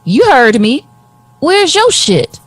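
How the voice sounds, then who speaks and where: A blunt, slangy, teasing friend in a present-day audio drama. Her fairly high voice swoops expressively, and she stretches her words in a close, dry recording. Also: big pitch swings, slow, dry recording, exaggerated voice. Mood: teasing, excited. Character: brash, teasing female friend, slangy and warm-hearted.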